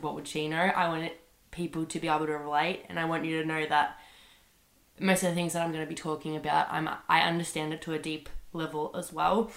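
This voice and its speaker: A woman's voice: deep voice, vocal fry, a bit bright. A young woman hosting her own podcast, rambling casually with many fillers while sick with a bad cold. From Australia. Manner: chatty, rambling, lots of fillers like "like" and "um". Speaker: podcast host. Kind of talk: casual solo podcast monologue. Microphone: computer microphone. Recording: echoey room.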